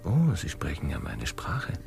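A man's voice: rumbling low, huge pitch swings, unhurried, gravelly, a little mellow, in a whisper, soft background music, heavily acted. A man playing an eager, awkward, long-suffering Italian seafarer in a comic radio play. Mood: polite, hesitant.

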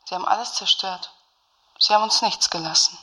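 An actress playing a bitter, sardonic former commune activist in a radio play phone call, speaking with pointed dry sarcasm. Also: female voice, medium pitch, brisk, pushed and bright.

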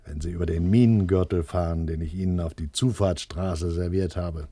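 A man's voice: deep voice, steady tone, normal speed, dark tone, breathy edge, no room sound. A man playing a determined, rational and militant adult son in a radio play, explaining things insistently and matter-of-factly. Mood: grimly determined.

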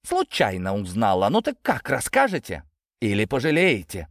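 A man's voice: fairly low pitch, wildly swooping pitch, normal speed, dry recording, studio quality. A man voicing a defiant young adult daughter, hurt and bitter, with an emotional, biting delivery in an audiobook. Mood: cold threatening.

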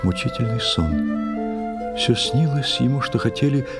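A man narrating a verse tale in a dramatic, measured, theatrical way. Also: medium pitch, expressive, loud music behind the voice.

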